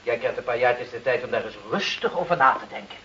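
An actor in a radio play playing a stern, sarcastic customs lieutenant with a commanding, legalistic manner. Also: male voice, mid-range voice, animated, quick pace, bright, forceful tone. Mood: smug, threatening. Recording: noisy, muffled old radio play recording.

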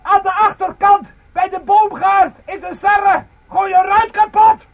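A woman's voice: very high-pitched, level tone, a little slow, slight background noise. An actress playing a frightened trapped woman in a radio drama, calling urgently for rescue in short bursts. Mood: urgent, desperate. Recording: dull, band-limited radio recording.

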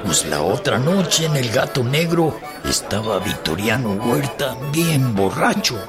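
Un actor que interpreta en una radionovela a un compadre mexicano chismoso, reservado y alarmado, con acento mexicano. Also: male voice, mid-range voice, very animated, unhurried, music in the background. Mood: conspiratorial. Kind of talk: radio drama acting.

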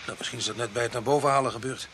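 A man's voice: medium pitch, fairly even intonation, very fast, noisy background, close to an everyday voice. A man acting in a radio drama as a hot-headed police sergeant, protective of his people and emphatic. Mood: incredulous.

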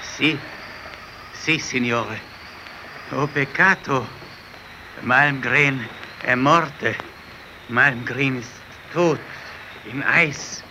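Grim, spent expedition captain: very slow, halting radio play delivery over clear noise. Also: male voice, mid-range voice. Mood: exhausted, grieving. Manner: halting, mixes in Italian words. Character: exhausted rescued Italian polar captain, grim, faltering. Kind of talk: German radio play acting. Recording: noisy old radio drama recording.

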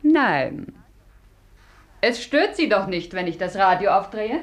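A woman's voice: medium pitch, big pitch swings, takes it slowly. An actress playing a cool, self-assured woman guest with a dry, mocking manner in a 1950s German radio play.

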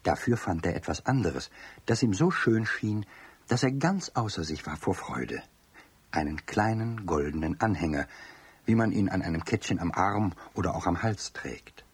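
A man narrating a children's radio play as storyteller, expressive and addressing young listeners directly, with a deep, husky voice. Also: moderate pace, no echo.

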